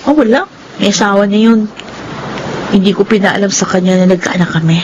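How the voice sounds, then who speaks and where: A woman with a Filipino accent playing a young single mother, soft-spoken and teasing, in a radio drama. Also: medium pitch, brisk, lo-fi sound, noisy background, close to an everyday voice. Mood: teasing, frank. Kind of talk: Tagalog radio drama acting.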